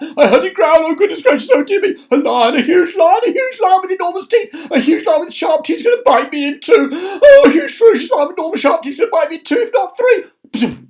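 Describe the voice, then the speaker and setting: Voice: very high-pitched, very animated, a little fast, few pauses, voice pushed up. A storyteller voicing a timid little jelly-like man from a children's storybook who shrieks and stammers in panic. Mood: panicked.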